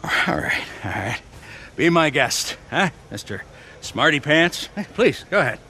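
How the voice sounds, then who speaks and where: A cranky old-timer in a video game, slow-paced and sharp-edged, his pitch swinging as he grumbles. He is blunt, sarcastic and impatient. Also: sing-song, a little hiss or hum, exaggerated voice. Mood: grudging, sarcastic. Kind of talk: video game character acting.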